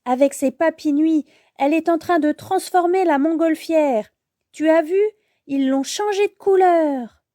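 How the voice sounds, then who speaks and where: A woman's voice: high-pitched, measured pace, dark tone, no room sound, studio quality, voice pushed up. A woman voicing an earnest, urgent little girl owl superhero from a children's storybook. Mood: excited.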